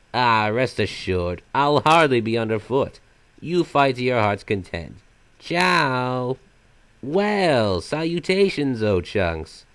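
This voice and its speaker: A man's voice: medium pitch, a bit bright, dry recording. A man voicing a flamboyant magical trickster villain from a video game, half silly and half sinister, with florid, mock-polite theatrical delivery. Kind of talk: fan voice-over of video game villain lines.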